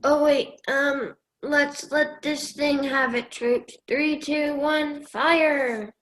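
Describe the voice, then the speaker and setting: A woman's voice: high-pitched, fairly even intonation, extremely slow, a bit bright, exaggerated voice, poor audio. A teenage woman playing a weary, plaintive military commander in a radio play. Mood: weary, commanding. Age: late teens. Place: home room on a video call.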